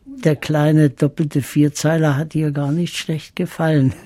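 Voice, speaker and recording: An actor playing a lonely, sentimental older gentleman poet, speaking with theatrical pomp in a radio drama. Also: on the high side, steady tone, a crowd in the background, close to an everyday voice. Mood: self-satisfied. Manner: slow, theatrical, pompous. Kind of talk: poem recital and dialogue in audio drama.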